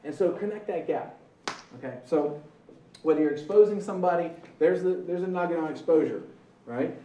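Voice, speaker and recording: A man's voice: medium pitch, dark tone. A man giving a recruiting training talk to a live audience, repeating his points and checking in with "okay?" and "right?". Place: meeting room with audience. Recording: echoey room from a distance, faint background noise.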